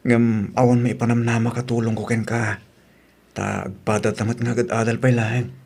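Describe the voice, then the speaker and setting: A man with an Ilocano accent playing an earnest, gentle young student boyfriend in an audio drama. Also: medium pitch, level tone, moderate pace, creaky.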